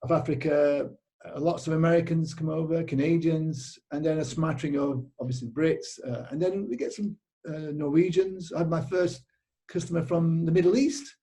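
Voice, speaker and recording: A middle-aged man with a British accent talks casually about his business. His voice sits a little high but sounds dark and mellow. He rambles along with plenty of ums and restarts. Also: fairly even intonation, moderate pace. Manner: rambling, frequent fillers and restarts. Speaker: cycling tour operator. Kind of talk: casual interview.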